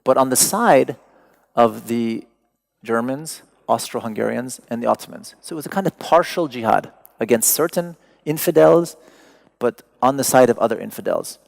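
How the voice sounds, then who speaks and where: Casting note: gravelly-voiced man, native Persian speaker, lecturing with dry humor. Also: mid-range voice, moderate pace. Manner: wry, digressive, joking asides. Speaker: artist giving a talk. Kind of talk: lecture to an audience.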